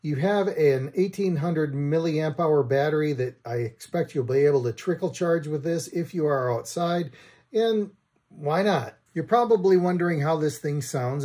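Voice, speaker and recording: A man's voice: a little high, normal speed, a little mellow. A middle-aged American man presenting a product review to camera with wry, dry humour, recorded in a room at home. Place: small room at home, indoors.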